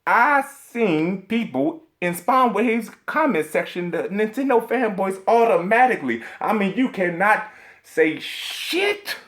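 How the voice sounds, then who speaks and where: A man in full rant mode, swearing constantly and hammering his points with indignant emphasis. His pitch swings widely as he gets worked up. He keeps tripping over strings of "uh"s while he searches for a word. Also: lively intonation, slow, fairly bright tone. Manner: rambling with stacked filler hesitations. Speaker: video game commentator. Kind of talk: profane ranting vlog commentary.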